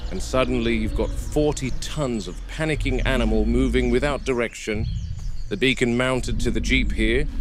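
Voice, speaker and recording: A man playing a serious, seasoned game warden who narrates a field log in a measured, matter-of-fact way. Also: mid-range voice, lightly acted. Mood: grave, intent. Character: serious seasoned male game warden logging work with dinosaurs. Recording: noisy VHS-style field recording.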